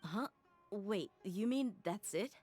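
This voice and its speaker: A woman playing a plucky, expressive, easily exasperated young ally in a video game, earnest and emphatic. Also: mid-range voice, slow pace. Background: game music.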